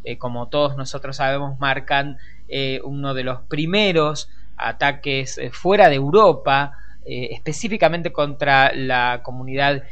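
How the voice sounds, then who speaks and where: An Argentine man with a Rioplatense accent hosting a conversational radio news show, improvising with frequent hesitant pauses. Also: medium pitch, a bit bright, clean tone, muffled recording. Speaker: radio host.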